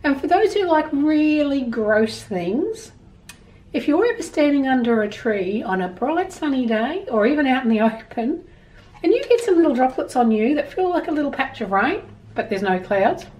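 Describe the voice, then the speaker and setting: A middle-aged Australian woman presenting storytime, reading and explaining to young children in an expressive, emphatic way from a small room at home. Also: high voice, normal speed, distant mic, poor audio.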